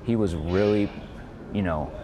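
A very deep-voiced man tells his account plainly, filling gaps with "you know". Clear background noise runs under his voice in an indoor space. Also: unhurried, mellow, poor audio. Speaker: coworker interviewee. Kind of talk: casual interview. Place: office building indoors.

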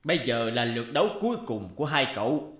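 A man's voice: mid-range voice, animated, fast, a little hiss or hum, close to an everyday voice. An actor voicing a mysterious, commanding old man who runs a game, announcing in a formal, theatrical and menacing way for a cartoon. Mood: grand announcing. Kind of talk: animated cartoon dubbing. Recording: echoey voice over loud music.

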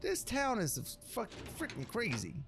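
A man acts out a cocky, slangy former drug cook telling his tale over a video game. The voice sits fairly high for a man and jumps around in pitch, with a crackly edge. He rambles like a folksy tough guy. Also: huge pitch swings, a little slow, heavily acted. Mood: rambling, cocky. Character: rambling streetwise ex-drug cook recounting a legend. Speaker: let's player. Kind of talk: in-character running game commentary.

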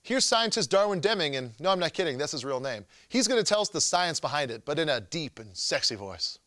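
A man's voice: on the high side, very animated, brisk, sharp tone, dry recording. A young man hosting a show with scripted comedic narration in a jokey, wry manner. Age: young adult. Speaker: host.

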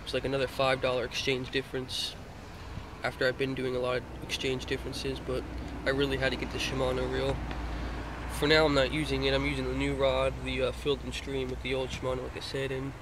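Rambling young man, flat monotone. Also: medium pitch, fairly quick. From Florida. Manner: rambling, hesitant, many uh fillers. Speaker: vlogger showing fishing gear. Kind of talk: casual show-and-tell. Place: inside a car, gear on the floor. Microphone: handheld phone pointed down at gear. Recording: noisy phone recording in a car.

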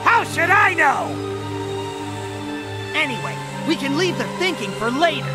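An actor playing a cocky, impatient young bird gang boss in a video game, brash and bossy. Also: male voice, very high voice, big pitch swings, unhurried, over loud music, full character voice. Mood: brash, irritated. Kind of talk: animated video game cutscene acting. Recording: loud game soundtrack over voice.

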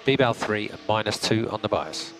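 Calm race engineer on team radio. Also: male voice, fairly low pitch, normal speed, vocal fry. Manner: calm, methodical, clipped updates. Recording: compressed team radio with music.

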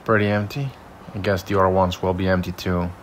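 A man giving low-key, casual walking commentary to himself as he explores an abandoned resort outdoors, on a camera mic. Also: little pitch movement, fairly quick, slight background noise. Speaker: urban explorer host.

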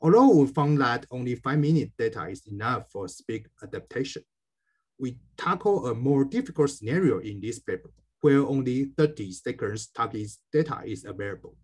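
A man with a slightly husky voice gives a careful, formal scripted academic presentation as a researcher. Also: medium pitch, animated, moderate pace, darkish tone.

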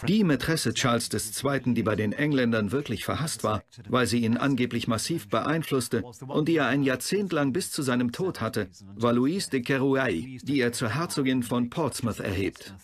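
A man's voice: mid-range voice, normal speed, few pauses. A man giving explanatory historical commentary in a documentary.

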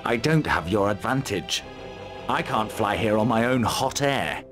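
A man playing a gruff, confident mercenary commander, curt and dry, in a fantasy video game. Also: fairly low pitch, expressive, measured pace, music in the background. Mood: dry, courteous.

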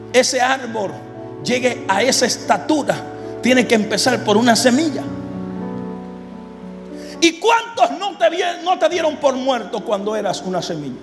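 High-voiced Dominican pastor preaching fervently. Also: male voice, big-room sound. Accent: Dominican Spanish. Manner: fervent preaching, swings between shouts and hushed lines. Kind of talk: sermon. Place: large church stage with an audience. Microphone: handheld microphone.

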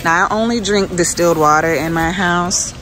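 A woman's voice: lowish voice, moderate pace, fairly bright tone. A woman chatting informally as she narrates her shopping, recorded on a handheld phone inside a large grocery store. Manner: informal, conversational. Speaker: shopping vlogger. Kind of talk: casual shopping chat, narrating as she goes. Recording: clear store ambience noise behind voice. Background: supermarket background noise.

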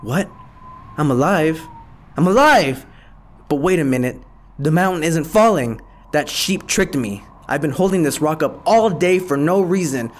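A man's voice: a little high, expressive, measured pace. A man voicing a hungry, vain and gullible wolf villain in a children's puppet show, blustering and theatrical. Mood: indignant, excited.

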